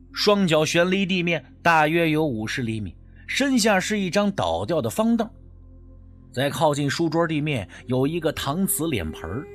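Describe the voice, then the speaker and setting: A man narrating a suspense audiobook in a dramatic, scripted style. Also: on the high side.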